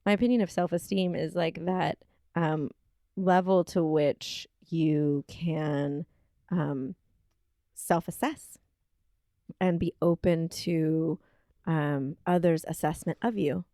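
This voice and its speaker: Low, gravelly woman co-host. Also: steady tone, slow pace, warm tone, no echo, studio quality. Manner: thoughtful, searching, with many fillers. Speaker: podcast co-host. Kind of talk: casual chat. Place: home kitchen table.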